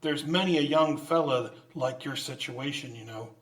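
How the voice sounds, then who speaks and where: A man's voice: mid-range voice, normal speed, fairly bright tone, close to an everyday voice, poor audio. A man playing a cold, miserly old businessman who is curt and scornful, in old-time radio drama style. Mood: stern, scolding. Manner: curt, scornful, barking orders.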